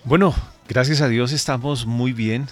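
A man's voice: mid-range voice, steady tone, measured pace, no echo. A man who is a pastor, raised in Colombia, telling a story earnestly and measuredly in a radio interview.

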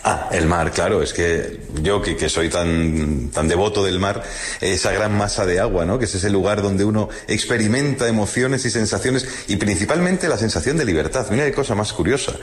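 A man's voice: on the low side, moderate pace. A man from Madrid with a Madrid accent, musing in a lyrical, literary way and repeating words for emphasis in a reflective radio conversation. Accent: Madrid Spanish. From Madrid, Spain. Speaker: guest commentator on literature.

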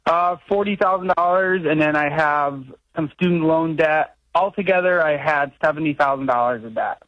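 Young man, early twenties, hesitant caller, slightly high pitch, dry sound. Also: no room sound, dull audio. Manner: hesitant, halting. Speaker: phone-in caller. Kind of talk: conversational call-in.

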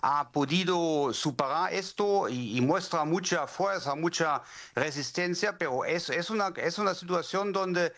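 A man with a fairly high voice and a German accent answers interview questions like an academic. He explains point by point in a second language, halting and repeating words as he looks for the right phrase. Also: fairly bright tone. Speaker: legal academic, interview guest.

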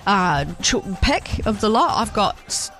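A woman's voice: medium pitch, sing-song, slow, a bit bright, faint music behind. A woman co-hosting breakfast radio, bubbly and laughing often. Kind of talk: casual radio chat.

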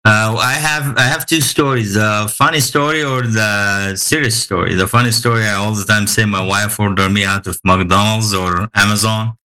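A man's voice: lowish voice, fairly even intonation, moderate pace, few pauses, bright, forceful tone, smooth. A man with an Egyptian accent, a guest chatting casually with frequent fillers and easy laughs. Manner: hesitant, many fillers, laughs easily. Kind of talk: casual interview chat.

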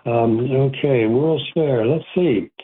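A man's voice: medium pitch, slow, breathy edge, narrow, tinny audio. A man from Rochester, New York, hosting an antiques radio show in a chatty, rambling way, often correcting himself mid-sentence. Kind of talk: casual call-in radio talk.

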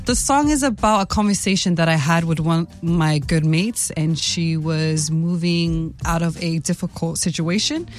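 A woman's voice: fairly low pitch, moderate pace, hardly any pauses. A woman with an American accent, who grew up speaking Tongan, chats easily as a guest in a radio studio interview. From Salt Lake City, Utah, USA. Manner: relaxed, chatty, laughs between answers. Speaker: guest singer.

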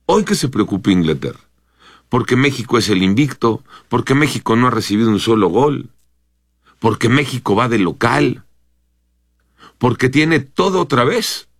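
A man's voice: medium pitch, animated, moderate pace, husky, dry recording, studio quality. A man with a Mexican accent hosting a morning news radio show, chatting with opinions and often checking that his co-host agrees.

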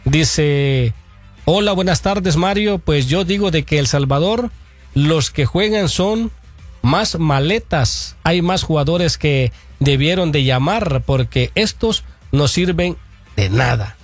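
Agreeable sports co-host, music behind. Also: male voice, on the high side, lively intonation, takes it slowly, dry recording. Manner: agreeable, frequent back-channel "ujú". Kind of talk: casual sports chat reading viewer messages.

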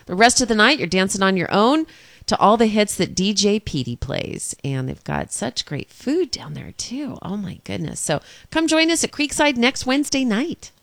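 A woman radio DJ with a hoarse, stuffed-up, nasal voice, chatting casually and cheerfully between songs. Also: medium pitch, very animated, moderate pace, fairly bright tone. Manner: chatty and upbeat.

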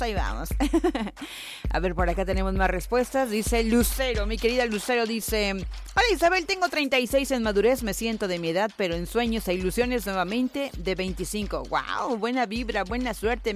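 A woman, hosting a live radio show and reading out listener messages in a chatty way, often laughing and exclaiming. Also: mid-range voice. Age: 40s. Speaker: radio host. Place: radio studio. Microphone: studio microphone.

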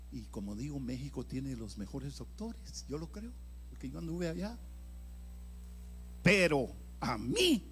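A man's voice: on the low side, a little hiss or hum. Older man preaching with dramatic swings. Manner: dramatic storytelling, swinging from loud emphatic bursts to hushed near-whispers. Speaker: evangelist preacher. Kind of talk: sermon testimony.

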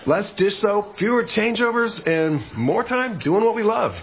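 An enthusiastic man who runs a restaurant, giving a practical radio testimonial. The voice is high and lively, with a little background noise. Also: a little slow, muffled recording. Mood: upbeat.

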